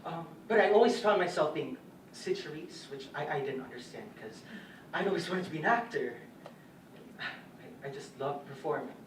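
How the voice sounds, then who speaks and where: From across a roomy stage comes a vulnerable young dancer acted by a man, his voice on the high side. He speaks in a halting, candid theatrical monologue, stumbling nervously as he confesses. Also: lively intonation, lightly acted. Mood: embarrassed, confessional. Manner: halting, hesitant, nervous stammers and restarts. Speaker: stage actor in a school or youth theatre production. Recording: roomy stage, distant mic, faint noise.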